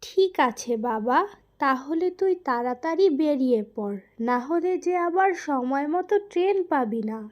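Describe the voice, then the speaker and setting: A woman's voice: high voice, animated, moderate pace, lo-fi sound. A woman voicing a concerned, caring village mother of a grown son in a cartoon.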